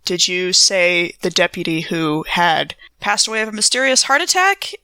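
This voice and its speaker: A woman's voice: fairly low pitch, very animated, normal speed, sharp tone, clear, resonant tone, dry recording. A woman playing a tabletop game, improvising in a casual, wry, conversational style. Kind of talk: casual improvised role-play and recap.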